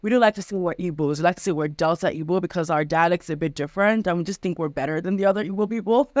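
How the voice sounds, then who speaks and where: A woman's voice: lowish voice, fast talker, no room sound, studio quality. A young woman from Nigeria, a guest chatting casually on a video call and filling her talk with "like". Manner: chatty, frequent "like" fillers, laughs easily.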